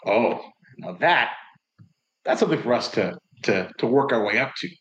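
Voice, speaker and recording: A man's voice: mid-range voice, animated, moderate pace, fairly bright tone, muffled recording, close to an everyday voice. Man playing an eager bookish village guy. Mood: friendly. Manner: casual, eager, informal.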